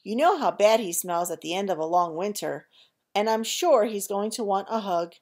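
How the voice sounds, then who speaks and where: A woman's voice: medium pitch, moderate pace, darkish tone, natural voice. A woman voicing a grumpy young rabbit in a storybook read-aloud, complaining and grumbling. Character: grumpy young rabbit who loves winter.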